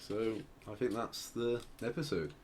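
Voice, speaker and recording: A man's voice: on the low side, a little slow, darkish tone, a little hiss or hum. A man co-hosting a podcast, chatting casually and explaining things hesitantly, often circling back to rephrase. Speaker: podcast co-host. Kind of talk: casual podcast chat, explaining a musician's story.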